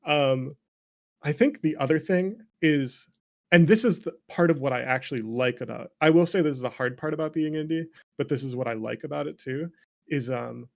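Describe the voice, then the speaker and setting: A man speaking as a game developer guest in a casual conversation, candid and animated, and quick to laugh. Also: fairly high pitch, vocal fry.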